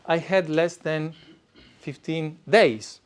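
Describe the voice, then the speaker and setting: A middle-aged man with an Italian accent presents to a live audience in an event room. His voice sits a little high for a man. He speaks in a conversational way, with plenty of "uh" fillers and restarts. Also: takes it slowly, clean tone. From Italy.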